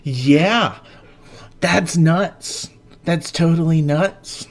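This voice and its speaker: A man's voice: a little high, drawn-out words. A man who hosts and narrates stories, reading in an earnest, dramatic way and chatting casually about them. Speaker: host and story narrator.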